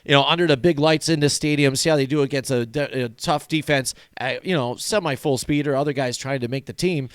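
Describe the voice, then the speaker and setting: A man hosting a casual sports talk show, excited and rambling with lots of fillers, and a little hoarse. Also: medium pitch, fairly quick, few pauses, dry recording. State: losing his voice. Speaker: show host. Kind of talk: casual sports podcast chat. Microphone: new home microphone.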